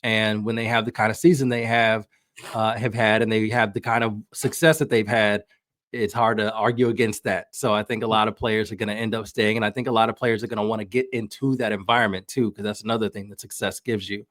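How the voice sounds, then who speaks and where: A man's voice: lowish voice, level tone, brisk, fairly bright tone, dry recording, clean studio sound. A man talking casually as a podcast guest, giving opinionated soccer analysis and thinking aloud as he goes. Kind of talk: casual sports analysis in conversation.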